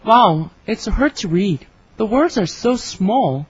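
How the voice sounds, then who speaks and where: A woman's voice: mid-range voice, expressive, slow pace, slightly rough. Woman reading a scripted dialogue. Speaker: dialogue reader for English learners. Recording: dry, slightly dull studio recording.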